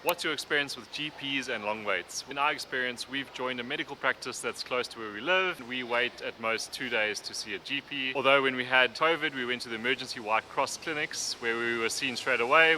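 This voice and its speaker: A man's voice: mid-range voice, pushed and bright, slight background noise, rough recording. A young man with a South African accent, answering viewer questions in an easygoing vlog chat. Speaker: vlogger.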